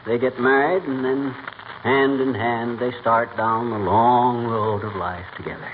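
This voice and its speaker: An actor playing a blustering, boastful, wisecracking husband with folksy slang, in old radio comedy style. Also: male voice, mid-range voice, steady tone, unhurried, natural voice. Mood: patient, exasperated. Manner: folksy, colorful mock insults and slangy exclamations. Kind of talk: 1940s radio comedy acting. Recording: tinny, noisy old radio recording.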